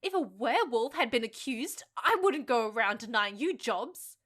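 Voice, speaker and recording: A very high-pitched young heroine of an audio drama, acted by a woman. She is half human, half fox spirit, a spirited job seeker who is quick to argue and stands up for herself. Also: lively intonation, fairly bright tone. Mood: indignant. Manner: defiant, indignant, argumentative.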